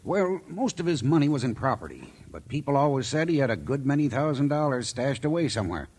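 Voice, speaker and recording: A folksy small-town sheriff in a 1940s radio play. His mid-pitched voice is husky and animated. He talks plainly and dismissively. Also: a little fast. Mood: grudging, explaining. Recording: old radio drama recording.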